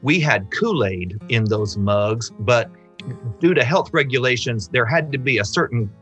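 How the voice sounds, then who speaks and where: A middle-aged male storyteller with a bright, mid-pitched voice, recounting anecdotes in conversation. He leans deliberately on key words, speaking over a video call with plucked guitar music behind him. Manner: expressive, deliberate emphasis on words. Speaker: storyteller, interview guest. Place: home office.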